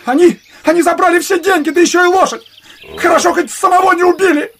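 An actor playing a young travelling cloth merchant in an audio drama, timid and ingratiating, eager to please. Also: male voice, extremely high pitch, steady tone, quick pace, fairly bright tone. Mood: nervous. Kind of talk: Russian audio drama acting.

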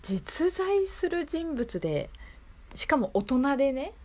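A woman's voice: high voice, big pitch swings, takes it slowly, mellow. A woman hosting a local radio show, speaking politely and conversationally as she addresses her listeners. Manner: polite, conversational, often seeking agreement from listeners. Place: radio studio.

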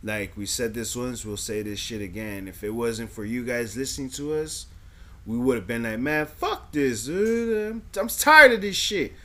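A man co-hosting a casual podcast, slangy and hyped, swinging between low mumbles and loud shout-outs. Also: animated, normal speed. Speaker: podcast co-host. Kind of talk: casual chat.

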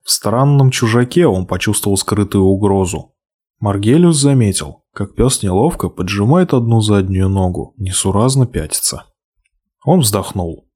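A man reading a fairy tale aloud, expressive, slowing and hushing for the sad passages. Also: lowish voice, husky. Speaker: narrator. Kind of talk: storybook reading.

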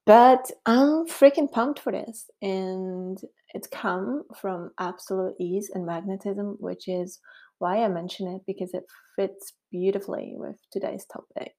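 A woman's voice: medium pitch, unhurried, warm tone. A middle-aged woman from Switzerland with a Swiss German accent, hosting a casual solo podcast in a chatty, upbeat way with frequent fillers. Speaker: podcast host.

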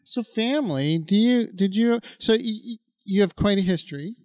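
A man's voice: high-pitched, expressive, moderate pace, a little mellow, dry recording. A man in his fifties co-hosting a casual podcast chat, talking in a loose, rambling way with lots of fillers and asides. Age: 50s. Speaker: podcast co-host.

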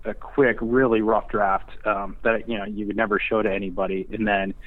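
A man's voice: on the low side, level tone, moderate pace, dry recording. A man giving casual interview answers as a writer, hesitant and thinking aloud. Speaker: screenwriter being interviewed. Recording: thin phone-like remote line, dry.

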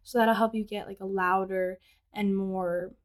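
A woman's voice: medium pitch, fairly even intonation, measured pace. A teenage girl, a guest singer, casually explaining singing technique with lots of fillers. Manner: chatty, explains with many "like" fillers. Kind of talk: casual chat about singing.

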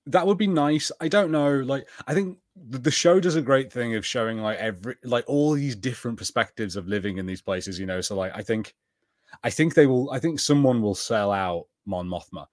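A man's voice: mid-range voice, expressive, moderate pace, no room sound, studio quality. A man co-hosting a podcast, chatting casually about TV and tentatively thinking aloud. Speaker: podcast co-host. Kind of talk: casual TV review chat.

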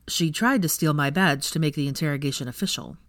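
A woman reading a story aloud, voicing an exasperated young father who sounds fed up, pitched a little lower than her narration. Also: fast, no room sound. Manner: exasperated, dry. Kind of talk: audiobook character voice.

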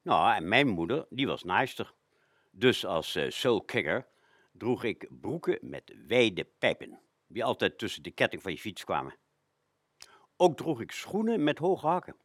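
A man presenting stories on local radio in a fairly low voice. His intonation is very varied, and the sound is dry with little room in it. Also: very animated, measured pace, a bit bright, husky, no room sound. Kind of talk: telling anecdotes on a local radio show.

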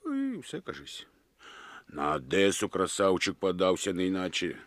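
A man's voice: deep voice, huge pitch swings, a little slow, fairly bright tone, no room sound, heavily acted. An actor playing an earthy, deferential village militiaman in a radio play, with a Ukrainian accent and folksy, exclamatory speech. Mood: alert, urgent.

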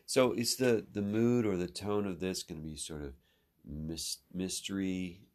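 A man's voice: low voice, steady tone, a little slow, darkish tone. A man hosting a podcast as an instructor, asking questions conversationally and often restarting as he thinks aloud. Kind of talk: conversational interview.

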